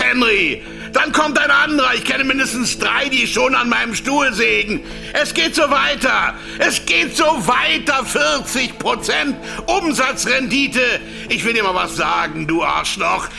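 A man playing a cynical, cornered pharmaceutical executive in a radio play, shouting emphatically. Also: extremely high pitch, creaky, heavily acted. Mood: desperate, goading. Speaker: actor in a radio drama. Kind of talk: German radio play acting.

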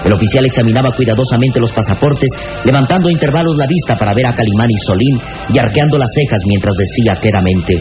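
A man's voice: mid-range voice, quick pace. A man narrating an old radio serial in a scripted, dramatic style. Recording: dull vintage radio recording with music.

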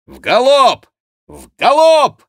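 A man voicing a brisk Gascon horseman in an audiobook, giving crisp orders with unruffled mockery. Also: fairly high pitch, sing-song, a bit bright, dry recording, studio quality, pitched above the performer's own voice. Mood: commanding. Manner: crisp commands, unruffled and mocking. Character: brisk, teasing Gascon horseman, coolly commanding. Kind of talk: audiobook character voice.

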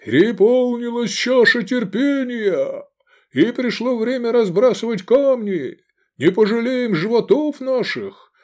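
Male narrator as a pompous church leader, high raised voice, slow, fervent, declamatory, storybook sermon. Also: animated. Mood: exalted, rousing. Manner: declamatory, drawn-out preaching.

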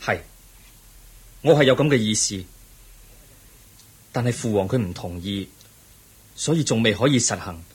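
An actor with a Hong Kong Cantonese accent playing a pensive, earnest young crown prince in a radio drama, speaking formally and reflectively. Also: male voice, lowish voice, expressive, unhurried, pauses often, husky, full character voice. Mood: calm, resolute.

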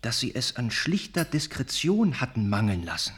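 An earnest young gentleman of a radio play, wounded and reflective. His voice is mid-pitched and husky, and he speaks haltingly, as if confessing something that pains him. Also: very animated. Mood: indignant, dismayed. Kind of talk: radio drama acting.

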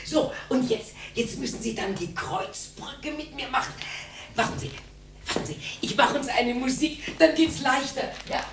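A woman's voice: high-pitched, normal speed, background noise. An actress playing a coquettish, wistful older woman in a radio drama. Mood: playful, coaxing. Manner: animated, humming and coaxing. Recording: roomy radio drama with music behind.

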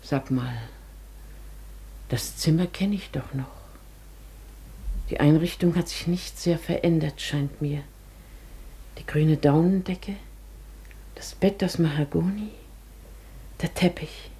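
A woman's voice: deep voice, slow, long pauses, dark tone. An actress playing a polite, evasive, formerly wealthy lady traveller in a German radio play. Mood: composed, wistful.

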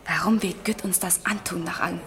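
A woman's voice: fairly low pitch, steady tone, brisk, a bit bright. An actress playing an anxious, pleading mother in a German radio crime drama, insistent and imploring. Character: anxious, pleading wife and mother fighting for family.

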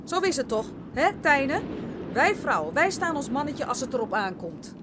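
A woman's voice: sits high, big pitch swings, normal speed, background noise. An actress in a Dutch radio drama playing a homely, assertive fishing-village mother with a chiding manner. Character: brisk, thrifty fishing-village mother and housewife.

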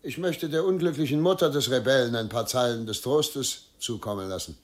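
An actor playing a principled, unbending governor in a radio drama, speaking formally and deliberately in measured phrases. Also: male voice, mid-range voice, lightly acted. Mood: grave, formal. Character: dignified, principled, unbending male provincial governor. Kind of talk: German radio drama acting.

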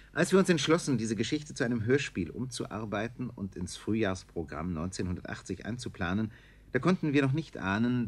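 A man with a fairly low voice introduces a radio play. He reads from a script in measured, formal phrasing, as a literary presenter would. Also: darkish tone.

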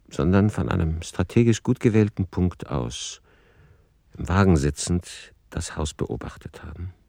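A man reading scripted radio drama narration in a formal, matter-of-fact, measured manner. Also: low-pitched, mellow, no room sound, clean studio sound.

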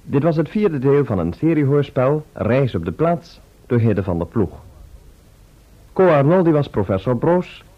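A male radio announcer with a Netherlands Dutch accent, reading scripted credits in a measured, formal style. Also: mid-range voice, big pitch swings. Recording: muffled old radio recording.

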